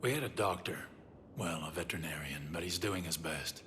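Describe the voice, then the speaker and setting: A man playing an earnest, weary resistance member in a video game, speaking gravely in halting, plain-spoken phrases. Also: low voice, fairly bright tone. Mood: earnest, urgent. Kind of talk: dramatic video game cutscene acting. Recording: long hall reverb, faint noise.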